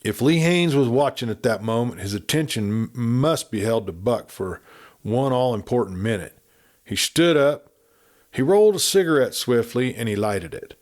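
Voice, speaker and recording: A man reading a western novel aloud in a steady storytelling manner. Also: mid-range voice, moderate pace. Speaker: audiobook reader.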